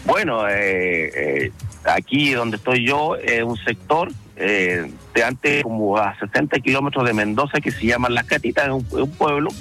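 A man with a Chilean accent, a truck driver telling his story in a relaxed interview, pausing often with fillers. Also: mid-range voice, soft background music. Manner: hesitant, many fillers, storytelling. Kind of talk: casual phone-in interview.

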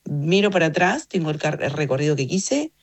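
A woman in her fifties with an Argentine Rioplatense accent and a fairly low voice. She gives reflective, conversational interview answers, explaining her points with emphasis and often checking in with the listener. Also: very animated, fairly quick. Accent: Argentine Rioplatense Spanish. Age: 50s. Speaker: interview guest, journalist and communications consultant.

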